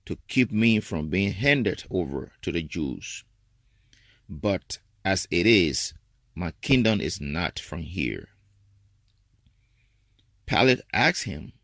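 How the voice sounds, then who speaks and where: A man giving a solemn, dramatic scripture reading with deliberate, expressive phrasing. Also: sits low, no echo, clean studio sound. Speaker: scripture reader.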